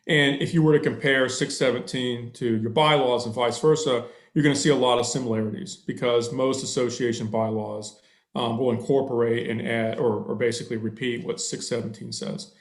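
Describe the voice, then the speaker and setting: A man's voice: mid-range voice, fairly even intonation, fairly quick, echoey room. A middle-aged man, an attorney, answering a board's questions on a video call through a headset, thorough and a bit long-winded, with many fillers.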